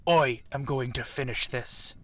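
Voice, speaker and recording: A man's voice: mid-range voice, unhurried, fairly bright tone. Guy playing a stern old dragon king dad, proud and bossy, formal warlord talk, fantasy audio play. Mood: angry, commanding. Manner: commanding, formal, imperious. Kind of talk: dramatic audio roleplay acting.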